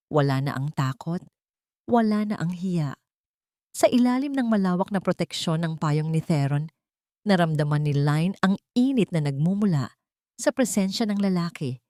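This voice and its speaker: A woman's voice: sits low, a little mellow, no room sound, studio quality. A woman reading a scripted dramatic story in an earnest, sentimental way. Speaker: audio drama narrator.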